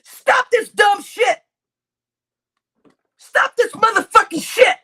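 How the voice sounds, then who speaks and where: A woman livestreamer, crying as she rants at her chat, shouting with heavy swearing and repeating her outbursts. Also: very high-pitched, animated, takes it slowly. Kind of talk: angry livestream rant to chat.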